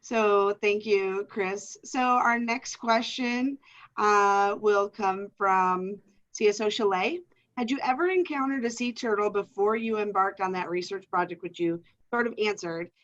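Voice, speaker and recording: A woman co-host reads audience questions in a calm, measured way. Her voice sits in a middle range. The call audio is dull and lo-fi. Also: mid-range voice.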